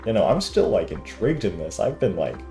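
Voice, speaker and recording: A woman's voice: very deep voice, very animated, brisk, gravelly. A woman giving casual live commentary as she streams a video game, with music behind her. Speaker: game livestreamer. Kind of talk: casual live reaction commentary, reading game lines aloud.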